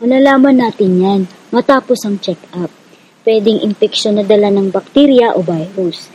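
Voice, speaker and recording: An actress playing a caring, reassuring Filipino guerrilla medic in a radio drama, with a mellow, husky voice. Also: female voice, medium pitch, normal speed, natural voice. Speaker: rebel medic character. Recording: slightly dull lo-fi audio.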